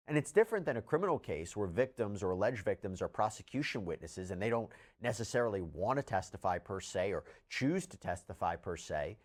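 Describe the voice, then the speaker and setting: A man delivering scripted legal news commentary in an explanatory, emphatic way. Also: medium pitch.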